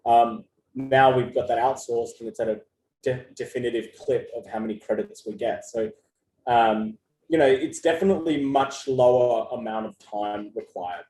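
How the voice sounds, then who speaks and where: A man's voice: mid-range voice, normal speed, warm tone. A man who co-founded an agency, answering interview questions in a loose, conversational way with plenty of fillers and restarts. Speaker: agency co-founder giving customer feedback. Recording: echoey room with faint ambience.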